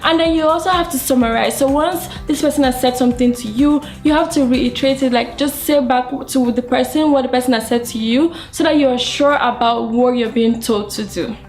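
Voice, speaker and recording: A woman's voice: high-pitched, fairly quick, hardly any pauses, darkish tone, faint music behind. A young woman from Nigeria with a Nigerian accent, English not her first language, giving earnest tips to camera in a small room. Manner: earnest, gives advice in numbered tips. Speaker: vlogger. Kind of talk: casual talk to camera.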